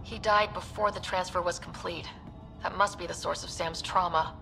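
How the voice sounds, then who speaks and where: A woman playing a steady, caring young team leader in a video game, speaking earnestly and firmly. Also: on the low side, moderate pace, gravelly, faint music behind. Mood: concerned, questioning.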